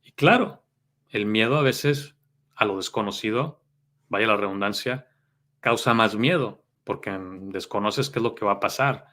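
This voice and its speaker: A man's voice: fairly low pitch, normal speed, sharp tone. A man with a Mexican accent giving a motivational self-help talk as a life coach, earnest and explanatory.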